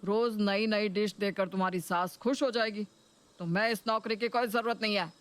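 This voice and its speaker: A woman's voice: medium pitch, fairly even intonation, normal speed, clean tone, close to an everyday voice. A woman voicing a stern, sarcastic, scolding office boss in a Hindi cartoon story.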